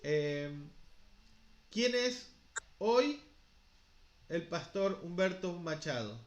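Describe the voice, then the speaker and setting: A man's voice: high-pitched, sing-song, drawn-out words, roomy sound, highs cut off, slight background noise. A man hosting an interview, asking questions with a hesitant, filler-heavy manner.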